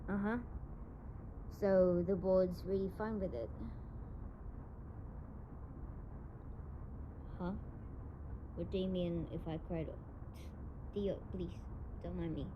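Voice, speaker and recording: A woman's voice: lowish voice, highs cut off, close to an everyday voice. A woman playing a tough but tender tsundere delinquent young woman in an intimate audio roleplay, with slangy speech. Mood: concerned. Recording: noisy home recording, audible background noise.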